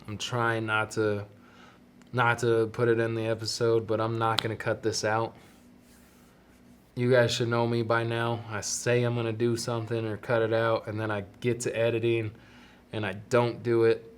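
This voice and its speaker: A young male podcast host with a stuffy, plugged-up nose, chatting alone to his listeners. His talk is loose and rambling, with easy self-deprecating asides, pitched in a middling range. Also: monotone, normal speed, slight background noise. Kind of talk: casual solo podcast talk.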